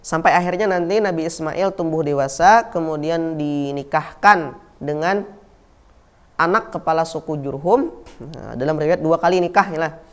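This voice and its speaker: A man's voice: on the high side, normal speed. Indonesian male teacher, lo-fi recording. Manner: repeats key words, asks and answers his own questions; frequent "nah" and "yalah" fillers. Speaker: Islamic school teacher. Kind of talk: classroom lecture on Islamic history. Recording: dull lo-fi recording.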